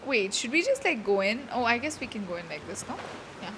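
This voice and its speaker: High-voiced woman streamer reacting to a game. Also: sing-song, fairly quick, noisy background. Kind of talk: casual live reaction commentary.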